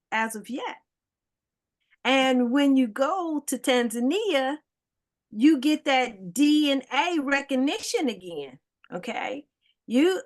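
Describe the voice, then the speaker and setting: A woman's voice: a little high, a bit bright. A woman, guest and foundation director, explaining history in an interview with frequent ums and tag questions. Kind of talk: interview answers.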